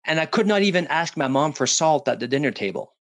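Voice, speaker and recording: Animated French Canadian man, lively pitch. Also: fast talker. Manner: animated, jokey, with occasional restarts. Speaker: guest, public speaking coach. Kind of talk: casual interview talk. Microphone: studio headphones and mic.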